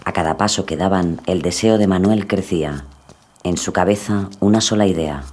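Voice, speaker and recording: A man's voice: low-pitched, normal speed, darkish tone. A man giving scripted, dramatic true-crime narration.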